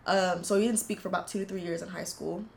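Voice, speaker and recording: A woman in her twenties from the United States, telling her family story in an earnest, reflective interview, pausing often as she goes. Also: on the low side, steady tone, vocal fry. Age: 20s. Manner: earnest, reflective, halting with frequent fillers. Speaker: interviewee, university student. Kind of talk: casual personal storytelling.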